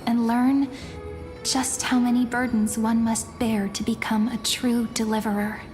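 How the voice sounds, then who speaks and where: Woman acting calm mystic game guide, fairly high voice, unhurried, musing, music and long reverb. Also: close to an everyday voice. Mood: calm, mysterious. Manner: musing, mysterious, composed. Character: enigmatic, calm female fortune-telling guide of fantasy.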